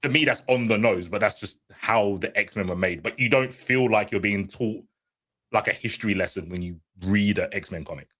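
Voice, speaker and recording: A man with a somewhat low voice, speaking as a guest in a relaxed panel chat. His points are short and blunt. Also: normal speed.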